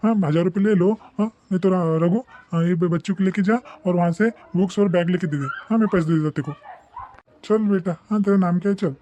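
Cartoon snack-shop boss, acted by a man: avuncular, exasperated, repetitive, high-pitched and quick. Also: warm tone, slight background noise, natural voice. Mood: exasperated, generous. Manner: repetitive, avuncular, mixing Hindi and Marathi. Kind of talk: animated moral story dialogue.

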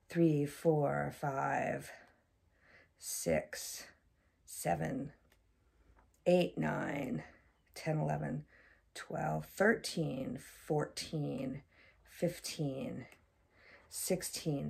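A woman's voice: low voice, vocal fry, breathy edge. A middle-aged woman chatting informally to a child on a home video before and after reading aloud. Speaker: parent volunteer reading aloud. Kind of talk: casual chat to a child on video. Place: small furnished room at home, seated on sofa.

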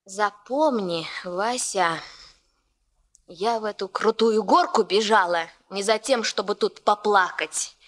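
A woman's voice: medium pitch, slow pace, fairly bright tone. A woman acting in a Soviet radio play as a spurned young factory worker, scolding emotionally and vengefully in colloquial speech. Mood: bitter, vengeful.

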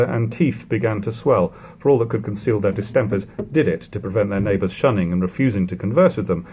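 A man in his thirties reading a classic novel aloud at home, in a measured, steady way, letting sentence ends fall quiet. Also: lowish voice, a little hiss or hum. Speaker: audiobook reader. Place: living room at home.

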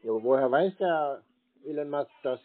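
A man's voice: fairly high pitch, fairly quick. A man talking casually on the phone in a dry, sceptical, unhelpful manner. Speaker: scam-baiter taking a call. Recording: tinny, band-limited phone line.

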